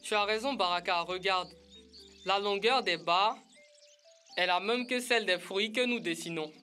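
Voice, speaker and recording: A woman's voice: mid-range voice, normal speed, bright, forceful tone, soft background music, close to an everyday voice. A woman voicing a practical, helpful young child in a cartoon dub.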